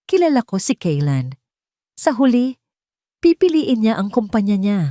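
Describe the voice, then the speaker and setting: Smug young Filipina schemer played by a female narrator, gloating, higher-pitched, audio drama villainess. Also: big pitch swings, unhurried, mellow, no room sound, clean studio sound. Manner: smug, boastful.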